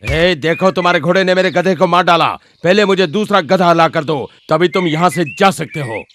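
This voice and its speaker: Stubborn, high-ish quarrelsome villager. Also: male voice, normal speed, a bit bright, a little hiss or hum. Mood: indignant. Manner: argumentative. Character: stubborn, quarrelsome donkey owner. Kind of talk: children's animated story dialogue.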